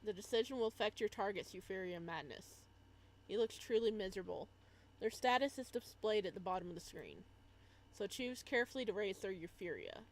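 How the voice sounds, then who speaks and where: A woman's voice: mid-range voice, animated, brisk. A woman live-streaming a game in a casual style, reading on-screen text aloud and adding chatty asides.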